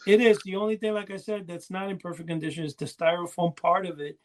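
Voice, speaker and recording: Man, high voice, chatty, rambling casual reminiscence, podcast co-host. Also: flat delivery, quick pace. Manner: chatty, rambling, detail-heavy. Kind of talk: casual rambling reminiscence about old toys.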